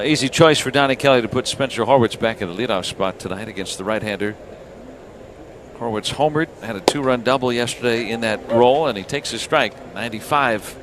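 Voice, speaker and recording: A man calling a baseball game live on the radio as play-by-play announcer, in measured clipped phrases with pauses. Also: mid-range voice. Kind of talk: live radio sports commentary. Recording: noisy outdoor ballpark radio broadcast. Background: steady ballpark ambience.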